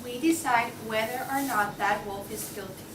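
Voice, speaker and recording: Exasperated, pushy pig juror, played by a woman, higher voice, echoey room with clear background noise. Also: normal speed, close to an everyday voice. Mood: insistent. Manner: insistent, exasperated. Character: impatient, argumentative pig juror certain of guilt. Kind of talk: student table read of a comic play. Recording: echoey noisy room, distant mic.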